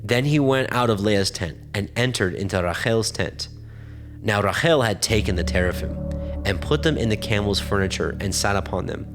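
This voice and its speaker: A man narrating scripture from a script in a formal, measured way. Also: fairly low pitch, expressive, music in the background.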